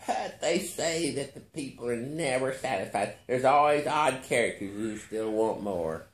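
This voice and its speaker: A man voicing a wizened old billionaire in a story, slow and deliberate, with naive, smug, matter-of-fact answers. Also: mid-range voice, lively intonation, reverberant, lightly acted. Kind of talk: storybook character voice in a short story reading.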